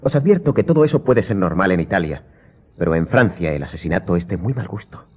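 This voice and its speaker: An actor playing a commanding count in an audio drama, formal, authoritative and coolly sardonic. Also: male voice, deep voice, animated, fast talker, breathy edge. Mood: stern, threatening. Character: commanding, coolly ironic count, master of the house. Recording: muffled, band-limited audio.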